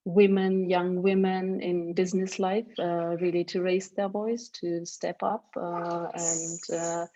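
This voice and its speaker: A woman's voice: fairly low pitch, flat delivery, slow pace, few pauses, warm tone, lo-fi sound, a little hiss or hum. A woman speaking on an online panel, hesitant and full of fillers. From Germany. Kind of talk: panel discussion.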